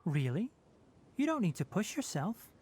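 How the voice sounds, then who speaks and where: An actor playing a sensible young male forest ranger in a video game, instructive, calm and matter-of-fact, with dry wit and a caring streak. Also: medium pitch, normal speed, a little hiss or hum, natural voice. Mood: cautious, gentle. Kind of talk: video game character acting.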